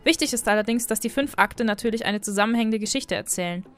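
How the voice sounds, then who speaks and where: Higher-voiced woman narrating an explainer. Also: quick pace. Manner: friendly, apologetic about pronunciation. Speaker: wiki video host. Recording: voice-over with faint background music.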